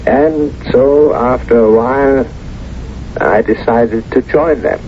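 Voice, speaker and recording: An actor in a 1970s radio drama playing a suave, menacing former collaborator, measured, deliberate and smug. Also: male voice, mid-range voice, close to an everyday voice. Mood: coolly confessing. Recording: muffled, hissy old radio recording.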